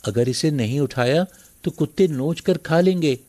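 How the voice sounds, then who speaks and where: A man's voice: a little high, normal speed, dark tone, lightly acted. A man playing a poor, kind-hearted younger brother, meek, earnest and pleading, in a moral story cartoon. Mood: concerned.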